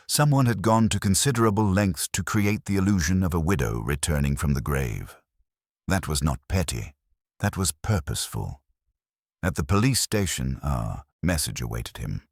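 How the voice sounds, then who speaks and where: A man reading a scripted mystery story as narrator, in a measured, literary storytelling manner. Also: very deep voice, no room sound, clean studio sound.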